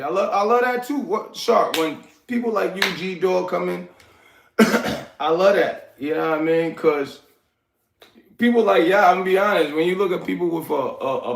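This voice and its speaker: A man, hosting a casual livestream, giving hyped shout-outs to viewers and rambling in streetwise slang. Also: high-pitched. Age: 40s. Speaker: livestream host. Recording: echoey room.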